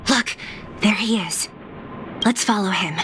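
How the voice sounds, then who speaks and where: A woman's voice: medium pitch, measured pace, background noise, lightly acted. Alert, purposeful young woman, voiced by an actress. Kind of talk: video game voice acting.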